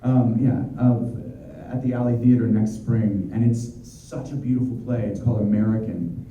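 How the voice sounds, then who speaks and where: A man's voice: medium pitch, fairly even intonation, moderate pace, warm tone, a little hiss or hum. A man in his thirties answering fan questions at a convention panel in a chatty, rambling way with many fillers and restarts. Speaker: voice actor at convention Q&A. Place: convention hall panel room. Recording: echoey hall from far off, muffled.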